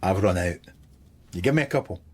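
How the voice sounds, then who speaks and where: Radio drama: middle-aged Scottish detective, dry, wry, defiant, very lively intonation. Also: male voice, deep voice, brisk, slightly rough. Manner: sardonic, deadpan.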